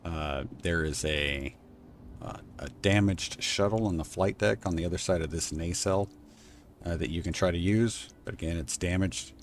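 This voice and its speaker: A man streaming a game from home, thinking aloud and answering chat in a soft, unhurried voice. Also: a little hiss or hum. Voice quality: low, soft, relaxed voice. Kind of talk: casual live-stream gameplay chat.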